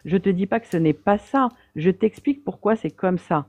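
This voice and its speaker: A woman's voice: medium pitch, expressive, moderate pace, darkish tone, dry recording. A woman calling into a live debate, defending her view in a hesitant way with many fillers. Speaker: debate caller. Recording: dry tinny phone line.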